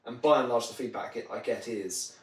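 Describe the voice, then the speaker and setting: A man speaks as an interview guest in a roomy space, sitting a little away from the microphone. His delivery is conversational and explanatory, built around examples, in a medium-pitched voice. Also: moderate pace. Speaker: podcast guest, marketing trainer. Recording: roomy echo, distant microphone.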